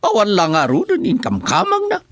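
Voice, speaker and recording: A woman's voice: medium pitch, wildly swooping pitch, normal speed. A woman playing an aggrieved parent-in-law, whining and pleading dramatically in a comedic radio drama.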